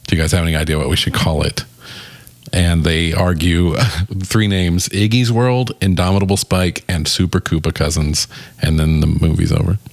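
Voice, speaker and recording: A man's voice: low-pitched, fairly even intonation, normal speed, gravelly, no echo. A man co-hosting a film review podcast, chatting casually in a wry way. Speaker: podcast co-host.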